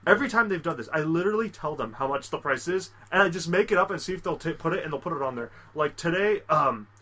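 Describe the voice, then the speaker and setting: A man's voice: on the high side, expressive, fast talker, pushed and bright. A young man co-hosting a podcast, telling rambling crude stories in casual chat, laughing and slipping into mock voices for quoted people. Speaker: podcast co-host.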